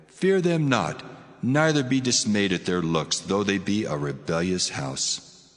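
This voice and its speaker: Deep God voice, cavernous reverb. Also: male voice, animated. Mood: stern. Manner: grave, deliberate, proclaiming. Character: God, solemn commanding male divine voice. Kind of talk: dramatized scripture reading. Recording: long cavernous reverb, music underneath.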